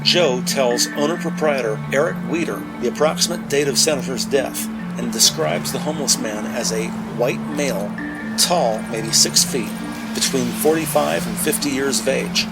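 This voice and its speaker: A man's voice: mid-range voice, moderate pace, gravelly, over loud music, low-quality recording. A man giving scripted, present-tense narration for a crime drama.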